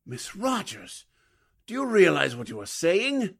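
A stuffy gentleman from a forties radio drama. His voice is husky and gravelly, blustering in old-fashioned phrases with big pitch swings. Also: huge pitch swings, measured pace, full character voice. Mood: dismayed. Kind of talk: 1940s radio drama acting.

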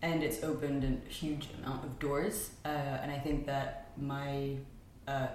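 Low-voiced young woman in an echoey room. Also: little pitch movement, unhurried, darkish tone. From Spain. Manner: chatty, frequent ums, laughs often. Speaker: podcast guest. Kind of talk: casual conversational chat. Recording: very echoey room with faint noise.

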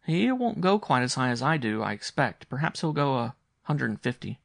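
Storybook young boy, played by a man: raised pitch, grave, earnest, insistent, very dry close sound. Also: very animated, moderate pace, gravelly, no room sound. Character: earnest, intense young boy, secretive gambler.

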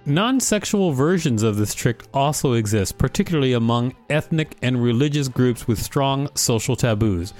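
A man's voice: medium pitch, expressive, moderate pace, hardly any pauses, darkish tone, soft background music. A man co-hosting a podcast, reading an article aloud and chatting casually. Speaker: podcast co-host.

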